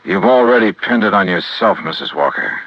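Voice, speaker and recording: A man's voice: low-pitched, animated, fairly quick, slightly rough, no echo, full character voice. A man playing a shrewd, tough insurance investigator in 1950s radio noir style, with a clipped American delivery. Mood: coolly accusing. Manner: clipped, hard-boiled. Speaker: lead actor of a radio detective series. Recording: muffled old radio recording.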